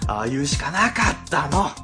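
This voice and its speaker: Woman acting animated, sly office worker. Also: low-pitched, normal speed, over music. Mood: defensive, brash. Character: sly, cowardly, flattering office worker covering for herself. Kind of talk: web radio drama acting.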